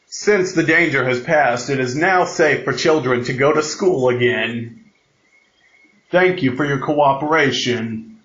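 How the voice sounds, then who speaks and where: Slow, halting man in an echoey room. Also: medium pitch, a bit bright. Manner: halting, repeats and restarts phrases; mimics alert tones with spoken sounds. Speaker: amateur announcer of a mock emergency alert. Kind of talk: improvised mock emergency broadcast announcement. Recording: muffled, echoey room recording.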